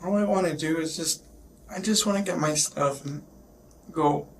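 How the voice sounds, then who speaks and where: A man being questioned by police, recounting in a halting, shaken way while getting over a sickness. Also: a little high. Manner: shaken, halting, repeats himself. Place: police questioning, recorded on bodycam. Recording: noisy police bodycam audio.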